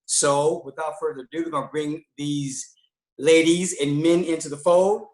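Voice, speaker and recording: A man's voice: fairly high pitch, steady tone, moderate pace, a little mellow, roomy sound, poor audio. A man guest-hosting a live online talk show, upbeat and loose, with many fillers. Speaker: guest host.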